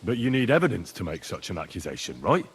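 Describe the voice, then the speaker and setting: An actor voicing an earnest, determined young squire in a video game, with a slightly husky voice. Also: male voice, on the low side, wildly swooping pitch, fast talker, heavily acted. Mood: inquisitive. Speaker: game protagonist.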